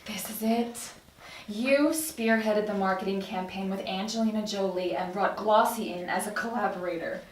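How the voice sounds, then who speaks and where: A woman's voice: mid-range voice, normal speed, a little hiss or hum, lightly acted. A woman playing an eager, teasing protege in her thirties at a table read, recorded in a roomy space. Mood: breezy, encouraging. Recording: roomy, distant mic.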